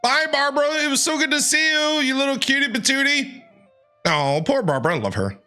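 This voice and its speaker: A man streaming a video game, giving playful, gushing and excitable commentary. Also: very high-pitched, a little fast. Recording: game music behind the voice.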